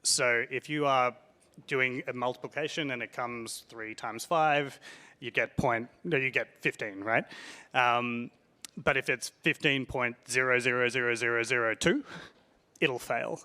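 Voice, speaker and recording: A man's voice: medium pitch, a little slow, sharp tone, big-room sound. A man speaking casually on a panel discussion, conversational with frequent fillers and emphatic points.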